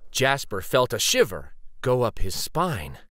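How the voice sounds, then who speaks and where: A man reading a children's story aloud dramatically, with theatrical, suspenseful pauses. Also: mid-range voice, wildly swooping pitch, a bit bright, no room sound, studio quality.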